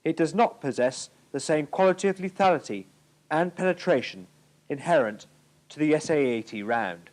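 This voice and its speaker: A man's voice: on the high side, expressive, normal speed, darkish tone. A British man giving formal, precise scripted narration for an instructional military training film from the 1980s.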